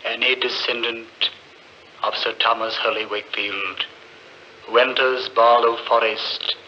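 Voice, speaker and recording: A portentous male voice of doom in a vintage radio horror play. He draws the words out slowly and solemnly. The old recording is muffled, with faint noise. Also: medium pitch, flat delivery, sharp tone. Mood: ominous. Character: ominous male voice intoning a deadly family curse. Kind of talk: 1940s radio drama acting.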